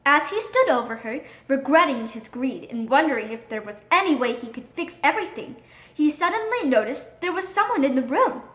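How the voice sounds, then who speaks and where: A woman narrating a scripted children's storybook in an expressive, dramatic read-aloud manner. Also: sits high, fairly quick. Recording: roomy echo.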